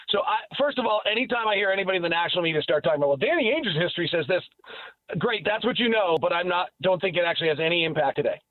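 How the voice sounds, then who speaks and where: Male call-in sports commentator, high register, emphatic and argumentative, narrow telephone-band sound with no room echo. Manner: emphatic, argumentative, repeats points. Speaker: sports radio commentator and guest. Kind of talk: opinionated sports talk. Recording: narrow phone-like line.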